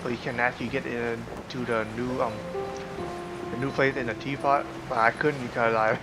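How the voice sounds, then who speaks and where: A man gives casual game commentary on a livestream. He rambles and halts as he thinks aloud, and loud game music runs behind him. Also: mid-range voice, little pitch movement, normal speed, low-quality recording.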